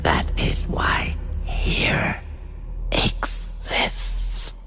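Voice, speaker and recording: A man acting a sinister, grandiose megalomaniac villain in a radio drama, speaking formally and theatrically with deliberate menace. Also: pushed and bright, whispered, soft background music. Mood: grandiose fury. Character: sinister megalomaniac villain, cold, grandiose, self-declared maniac.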